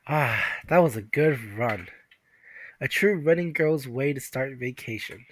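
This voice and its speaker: A man's voice: medium pitch, measured pace, slight background noise, close to an everyday voice. Man reading as earnest teenage schoolgirl heroine. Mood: musing. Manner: wry inner monologue. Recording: music behind the voice.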